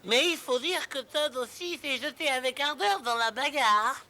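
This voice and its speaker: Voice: sits high, lively intonation, moderate pace, sharp tone, full character voice. An actor voicing a friendly, loyal small animal companion in a cartoon dub, polite. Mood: matter-of-fact. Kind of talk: French cartoon dub acting.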